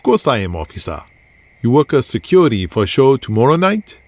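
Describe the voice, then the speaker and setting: A man's voice: mid-range voice, very animated, a little fast, darkish tone, no room sound, voice pushed up. A man voicing a cocky, easygoing Hawaiian star wrestler with a Hawaiian accent, breezy and boastful, in pidgin grammar. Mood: charming.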